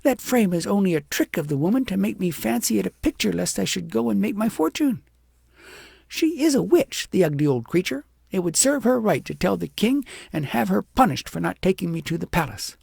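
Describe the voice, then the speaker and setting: A man's voice: high voice, expressive, normal speed, creaky, a little mellow, breathy edge, dry recording, studio quality, voice pushed up, heavily acted. A man voicing a vain, scheming, self-pitying young shepherd girl in a haughty, dramatic storybook manner. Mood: scheming, indignant. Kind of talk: acted audiobook storytelling.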